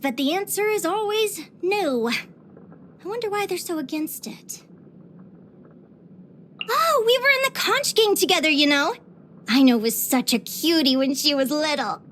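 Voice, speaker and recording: Game role: bubbly young naturalist adventurer girl, high-pitched, expressive, curious and sweet. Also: a little hiss or hum, exaggerated voice. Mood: gushing, wistful. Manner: expressive, chirpy, with sighs and giggles. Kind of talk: scripted video game character voice lines.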